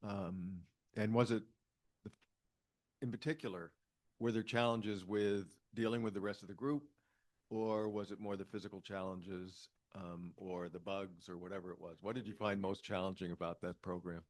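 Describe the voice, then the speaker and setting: Deep-voiced man posing a question. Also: little pitch movement, moderate pace. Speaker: audience member asking a question. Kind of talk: unscripted question at a public meeting.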